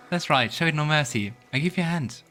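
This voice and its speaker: A man's voice: fairly high pitch, expressive, a little fast, pushed and bright. A man hosting a let's play, casually commenting, musing aloud and reading game text. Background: faint game music.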